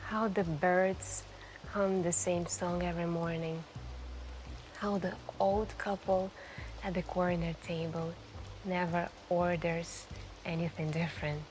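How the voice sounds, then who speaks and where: Slow, soft-spoken mysterious café stranger played by a woman. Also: lowish voice, flat delivery, slight background noise, low-quality recording. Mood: musing, intrigued. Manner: slow, musing, gently flirtatious, pausing between phrases. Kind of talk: soft-spoken ASMR roleplay, intimate storytelling. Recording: voice over music and falling rain. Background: music and rain.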